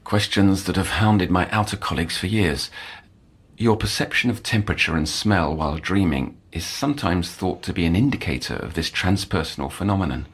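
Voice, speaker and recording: An actor playing an earnest, brooding middle-aged male doctor in an audio drama, speaking formally and haltingly in erudite language. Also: low-pitched, animated, slightly rough, heavily acted. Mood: wistful, scholarly. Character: earnest, scholarly, brooding male doctor haunted by past failures.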